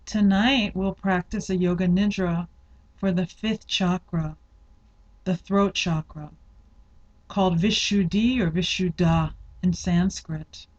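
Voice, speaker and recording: Female meditation guide, mid-pitched voice, very unhurried and deliberate delivery, slightly muffled lo-fi sound. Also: fairly even intonation. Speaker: yoga nidra meditation guide. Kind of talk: guided meditation, slow instructional narration.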